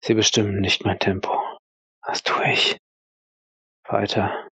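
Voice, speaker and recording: A man playing an exhausted but dogged young soldier in elite training, muttering halting, determined self-talk in an audio role-play. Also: lowish voice, level tone, gravelly, a bit bright, slightly rough, no echo, heavily acted. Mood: grim, determined.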